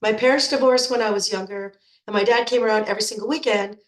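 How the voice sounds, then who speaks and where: A woman's voice: fairly high pitch, fairly even intonation, quick pace. Middle-aged female coach, congested from a cold, chatty and repetitive, in an echoey room. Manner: chatty, repeats words when restarting. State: stuffed up with a cold. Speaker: nutrition coach. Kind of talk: informal coaching talk to program members.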